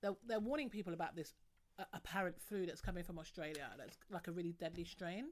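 A woman's voice: on the low side, quick pace, a little hiss or hum. A woman co-hosting a podcast, talking frankly and bluntly in casual chat. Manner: frank, outspoken, laughs easily. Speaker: podcast co-host.

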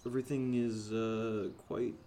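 An actor playing a dazed, sarcastic young man in a naturalistic horror audio drama, speaking haltingly with sighs. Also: mid-range voice, warm tone, a little hiss or hum. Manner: halting, hesitant, sighing.

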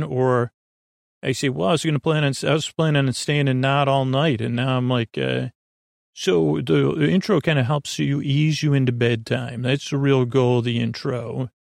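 A man hosting a sleep podcast, with a gravelly voice and a halting, meandering delivery that stammers, repeats words and trails off. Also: mid-range voice, no room sound, studio quality. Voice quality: gravelly, creaky. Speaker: host of a bedtime sleep podcast. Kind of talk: rambling bedtime monologue.

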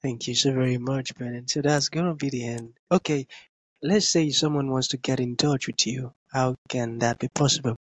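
Halting, slow-asking interview host. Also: male voice, medium pitch, steady tone, a bit bright. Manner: slow, halting questions with frequent "mm" and "amazing". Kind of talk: casual interview conversation.

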